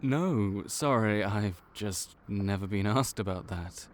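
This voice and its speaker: A man's voice: fairly low pitch, slow, slight background noise. A man voicing a male vampire intake officer in an audio roleplay, matter-of-fact and wry as he walks through the paperwork.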